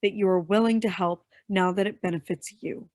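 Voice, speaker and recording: A woman's voice: mid-range voice, steady tone, fairly quick, a little mellow, no echo, clean studio sound, close to an everyday voice. A woman playing a bitter, defiant young henchwoman in a staged play reading. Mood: bitter, defensive.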